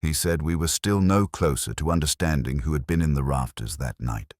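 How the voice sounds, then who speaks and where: Very deep, brooding man as a detective narrator. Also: darkish tone, no echo, studio quality. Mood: brooding, solemn. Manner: solemn, measured, literary. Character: weary, contemplative male police inspector narrating his case. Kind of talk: scripted first-person detective narration.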